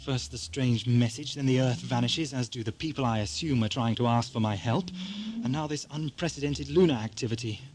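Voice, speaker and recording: A man's voice: medium pitch, fairly quick, close to an everyday voice. A man playing an eccentric, troubled time-travelling adventurer in a science fiction audio drama, theatrical and exclamatory. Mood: musing.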